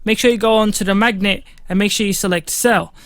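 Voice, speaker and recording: A young man walks through a music-production tutorial in a casual, chatty way, full of fillers and restarts. His voice sits high, and he talks close to a studio mic in a small home studio with a dry, dead sound. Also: steady tone, brisk, fairly bright tone, no echo. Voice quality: fairly high voice. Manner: casual, instructive, many fillers. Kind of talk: screen-recorded software tutorial.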